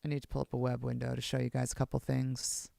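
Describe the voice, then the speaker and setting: A woman with a low voice, rambling excitably on a casual live stream as she plays bass and fiddles with her gear. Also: quick pace, gravelly, no echo. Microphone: streaming setup mic.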